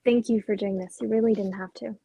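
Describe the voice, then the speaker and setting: A woman's voice: mid-range voice, steady tone, fairly quick, vocal fry, warm tone, breathy edge. A woman acting a restless, searching female character who longs for change, in a dramatic script reading. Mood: gentle, resolved.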